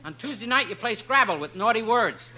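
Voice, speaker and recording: An actor playing a bossy, strict college football coach in a barking, commanding manner, in 1950s radio comedy style. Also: male voice, sits high, expressive, brisk, heavy background noise. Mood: bossy, stern.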